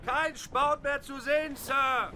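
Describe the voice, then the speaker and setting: An actor playing a dutiful ship's mate in a radio play, terse and obedient, relaying orders to the crew. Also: male voice, very high voice, fairly even intonation, takes it slowly, bright, forceful tone, a little hiss or hum, heavily acted.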